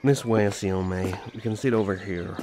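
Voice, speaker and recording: Man voicing a cocky, flirtatious elf prince, low-voiced. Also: steady tone, moderate pace, background noise, lightly acted. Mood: casual, coaxing. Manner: smooth-talking, teasing, boastful. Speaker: voice actor. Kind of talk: ASMR fantasy audio roleplay.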